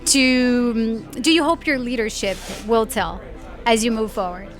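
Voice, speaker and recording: A woman's voice: on the high side, measured pace, faint music behind. A woman hosting a casual interview at an event, speaking in an appreciative and encouraging way to her guest. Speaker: interviewer, host.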